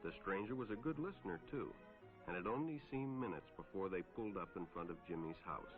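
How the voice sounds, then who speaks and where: Earnest 1950s police lieutenant, measured cautionary film narration, muffled vintage soundtrack with music behind. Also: male voice, low voice, animated. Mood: sober storytelling. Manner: measured, instructive storytelling. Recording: dull old film soundtrack, loud music.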